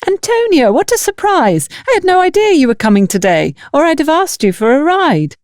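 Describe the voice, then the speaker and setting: Woman voicing a cheerful high-pitched chatty village sister-in-law. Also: very animated, a little fast, darkish tone, no echo, clean studio sound. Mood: bright, friendly. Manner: bubbly, gushing, sociable.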